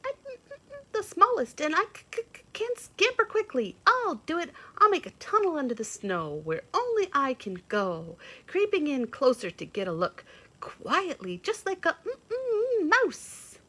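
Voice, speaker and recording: Woman playing a tiny chickadee, high and chirpy, sing-songy and brave. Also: very animated, a little slow, a bit bright, full character voice. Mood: eager. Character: tiny bold chickadee bird.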